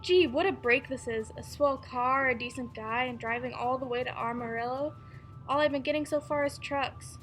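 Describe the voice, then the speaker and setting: A woman's voice: high-pitched, moderate pace, fairly bright tone, soft background music. A young woman acting a brash, wisecracking girl hitchhiker in a 1940s-style radio play, with slangy, sassy delivery. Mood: chirpy, grateful. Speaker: student actor.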